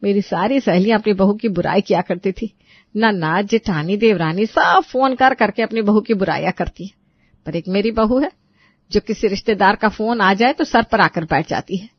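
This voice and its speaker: A woman's voice: mid-range voice, moderate pace, no room sound, highs cut off, natural voice. A woman voicing a gossipy, dramatic older mother-in-law type in an acted audio story. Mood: exasperated. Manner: complaining, dramatic. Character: exasperated, gossipy older Indian mother-in-law and her aunty friend.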